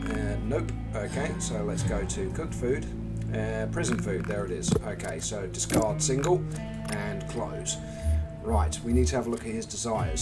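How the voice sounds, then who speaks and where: An English man giving casual live commentary as he plays a management game, talking through each step and chatting with viewers. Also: medium pitch. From England. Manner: chatty, narrates each click, greets chat. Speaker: game streamer. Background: game music.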